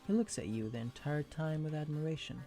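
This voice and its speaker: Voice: medium pitch, lively intonation, fairly quick, dark tone, faint music behind. A narrator reading second-person fan fiction as scripted storytelling.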